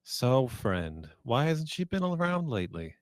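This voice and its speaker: A man's voice: mid-range voice, big pitch swings, measured pace, no echo. A man playing a flirty, nosy woman bartender with a casual, probing manner in a screenplay read. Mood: teasing.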